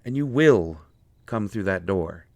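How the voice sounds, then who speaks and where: A man playing a proud, hurt, lovestruck healer in an intimate audio roleplay, speaking earnestly and haltingly with deep feeling. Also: expressive, dry recording. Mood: fervent, frustrated. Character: proud, wounded, lovelorn male healer with a limp.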